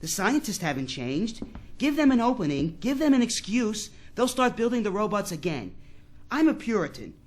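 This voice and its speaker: A man's voice: high voice, sing-song, moderate pace. A man playing an earnest, devout employee in a science fiction radio drama, plain-spoken, hesitant and moralizing. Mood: earnest, indignant.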